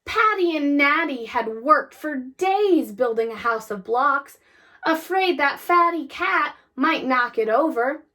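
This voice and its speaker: A woman reading a children's story aloud with deliberate, expressive phrasing. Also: high-pitched. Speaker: storybook reader. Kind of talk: children's read-aloud, scripted narration.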